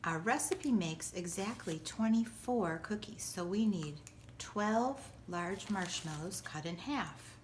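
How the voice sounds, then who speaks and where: A woman giving a step-by-step cooking demonstration like a teacher, with simple, clear directions. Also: on the low side, animated, takes it slowly, slight background noise. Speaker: school teacher presenting a recipe.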